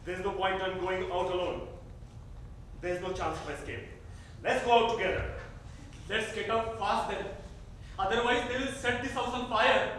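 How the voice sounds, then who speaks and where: Man playing high-voiced young rebel son, very echoey. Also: moderate pace, pauses often, a bit bright, recorded from a distance. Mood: urgent. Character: young man, restless evasive revolutionary son. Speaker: actor in a staged reading. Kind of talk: staged play reading. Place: theater stage. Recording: echoey theater recorded from afar, faint noise.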